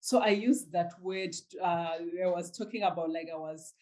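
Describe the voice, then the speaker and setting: A woman from Zimbabwe, recalling a story in a hesitant, halting way with many restarts and easy laughter. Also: fairly low pitch, a little mellow. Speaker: interviewee. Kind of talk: casual recollection.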